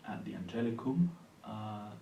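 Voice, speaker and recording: A man from Palestine speaks in a low, gravelly voice, measured and thoughtful, often pausing on fillers. He is recorded in an echoey room from some distance, with faint hiss underneath. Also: expressive, warm tone. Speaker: university lecturer and Anglican priest. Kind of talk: interview answers.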